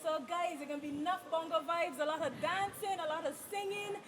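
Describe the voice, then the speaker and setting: High-voiced Barbadian woman, enthusiastic. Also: fairly even intonation, fairly quick, background noise. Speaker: guest artist, birthday ambassador. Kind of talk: live event interview. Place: outdoors at a crowded event. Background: crowd chatter.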